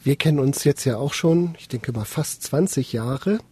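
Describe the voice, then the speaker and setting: A man's voice: mid-range voice, moderate pace, warm tone, breathy edge. A man hosting a studio interview, asking his guests questions in a friendly, direct way. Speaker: interview host.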